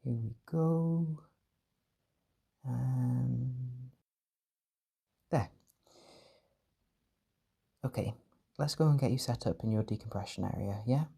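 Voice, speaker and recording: A man performing a gentle, doting caretaker in an intimate comfort roleplay, speaking softly and reassuringly with tender pet names. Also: medium pitch, slow pace, vocal fry, long pauses, slightly rough. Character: gentle, doting male caretaker soothing an overwhelmed partner.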